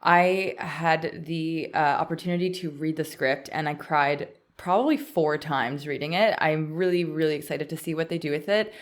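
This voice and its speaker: Canadian woman podcast host just chatting, voice a bit on the low side. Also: moderate pace, few pauses. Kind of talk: casual podcast chat.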